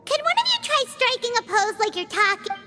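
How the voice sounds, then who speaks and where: A tiny, chirpy floating companion from a game, talking in a very high, bouncy voice that leaps up and down. She is blunt and nosy. Bright game music plays loudly behind her. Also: sing-song, brisk. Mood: curious. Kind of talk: game cutscene voice acting. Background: game soundtrack music.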